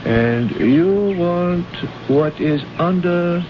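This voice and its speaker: An actor playing a courteous, guarded alien native in an old radio drama, speaking in formal, precise phrases without contractions. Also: male voice, high-pitched, lively intonation, extremely slow, heavily acted. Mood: guarded, wary. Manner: formal, precise, uncontracted speech. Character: courteous, guarded male alien native, innocent yet shrewd. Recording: noisy, muffled old radio recording.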